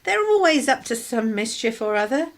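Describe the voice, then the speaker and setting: An actress as a wry, expressive put-upon wife. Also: female voice, on the high side, normal speed. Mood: placating, wry. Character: put-upon, sarcastic working-class wife and mother. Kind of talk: radio drama acting.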